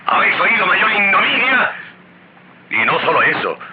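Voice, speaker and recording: A man's voice: sits high, very animated, normal speed. A man playing a sly, greedy, resentful apostle turned betrayer in an old-fashioned radio drama, fawning and insinuating in a theatrical way. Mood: scheming, indignant. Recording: muffled radio drama recording, loud background noise.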